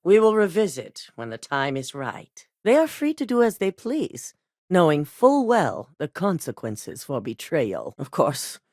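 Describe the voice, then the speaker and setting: A woman voicing a cool, calculating corporate executive in a video game, speaking in a measured, businesslike way. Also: sits low, wildly swooping pitch, darkish tone, no room sound, studio quality, heavily acted. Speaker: game character.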